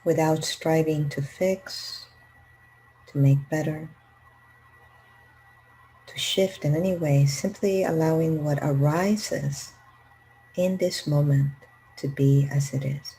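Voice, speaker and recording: A woman leading a guided meditation in a calm, gentle, unhurried manner with long pauses. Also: low-pitched, slightly rough, low-quality recording. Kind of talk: guided meditation, slow spoken instructions.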